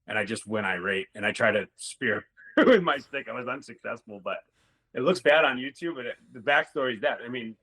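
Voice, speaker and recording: A man's voice: medium pitch, animated, fairly quick, pushed and bright, slightly rough. A middle-aged man, a former hockey player, telling rambling stories in a casual interview and swearing now and then.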